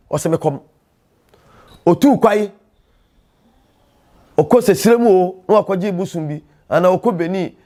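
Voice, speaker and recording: High-pitched Ghanaian man, emphatic storyteller. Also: a little mellow. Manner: emphatic, proverb-laden, repeats phrases for emphasis. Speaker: host and traditional storyteller. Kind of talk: impassioned teaching monologue in a storytelling show.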